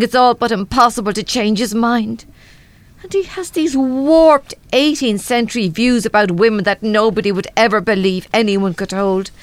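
A woman's voice: fairly high pitch, dry recording, natural voice. An actress playing an anxious, worn-down, self-doubting mother in a radio drama. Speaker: radio play actor. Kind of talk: live radio drama acting.

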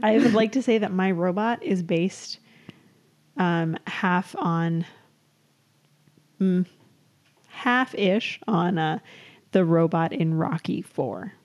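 A woman's voice: on the low side, slow pace, long pauses, darkish tone. A woman co-hosting a podcast in casual banter, giggly and playful, often correcting herself as she goes. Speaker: podcast co-host. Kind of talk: casual banter between co-hosts.

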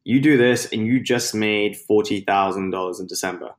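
A young man from London with a British accent, chatting upbeat as a podcast guest about his business start. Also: lowish voice. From London, England. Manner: chatty and upbeat, with quick laughs. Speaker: podcast guest, Amazon seller. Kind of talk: casual interview chat.

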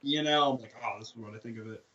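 A man's voice: medium pitch, very fast, fairly bright tone, muffled recording. A young man co-hosting a podcast, talking in a laid-back way about music, swearing casually and trailing off. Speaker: podcast co-host.

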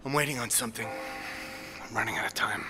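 A man's voice: mid-range voice, quick pace, natural voice. A man playing a bitter, anxious condemned doctor in a prison audio drama, brooding and irritable. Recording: hall reverb, music behind.

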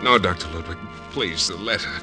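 An actor playing a shattered, despairing wounded soldier with anguished, melodramatic pleading in an old radio drama. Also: male voice, low-pitched, brisk, over music, natural voice. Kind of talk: 1940s-1950s radio drama acting.

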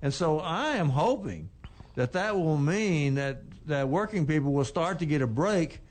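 An older man co-hosting a radio talk show, giving labor news in a plainspoken, unhurried way. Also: fairly high pitch, expressive. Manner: plainspoken, unhurried, with frequent restarts. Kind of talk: casual labor news chat.

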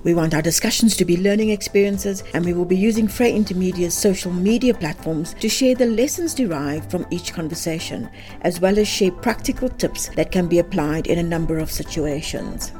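A woman's voice: fairly low pitch, fairly quick, warm tone, faint music behind. A woman hosting a podcast, reading a scripted introduction and then asking conversational questions. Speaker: podcast host. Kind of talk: podcast interviewing.

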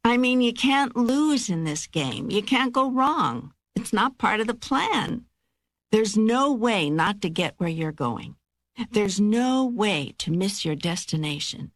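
A woman playing God as a wry, wise, reassuring spirit in an audiobook dialogue, with a measured, assured, instructive delivery. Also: mid-range voice, animated, no echo.

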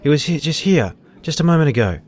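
A man's voice: on the high side, very animated, fast talker, a little mellow. A man playing an erratic, creepy science recruit in an audio drama, jittery and stammering as he repeats himself. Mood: frantic, flustered.

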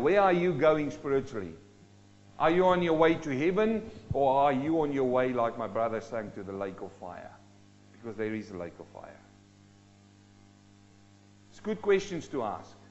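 Male preacher from South Africa, lighter pitch, dramatic swings in volume and pace, dull lo-fi recording. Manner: dynamic, rising and dropping sharply for emphasis. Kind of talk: sermon.

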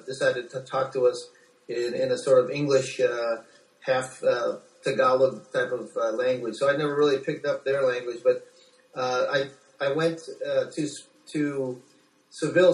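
An American man gives informal interview answers in a medium-pitched voice. He is hesitant and often doubles back on his words. He sounds set back from the microphone in a clearly echoey room. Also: far from the mic. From United States. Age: 40s. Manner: halting, hesitant, repeats words. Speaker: doctor being interviewed.

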